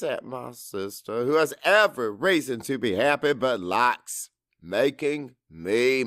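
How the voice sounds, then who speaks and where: A man narrating a dramatic first-person audiobook in an emotive, deliberate way with pauses for effect. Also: mid-range voice, fairly bright tone, dry recording, studio quality.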